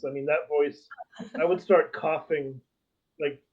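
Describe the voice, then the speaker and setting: A man's voice: a little high, fairly even intonation, measured pace. A man co-hosting a podcast in casual conversation, recorded with slightly dull sound. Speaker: podcast co-host. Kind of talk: casual chat.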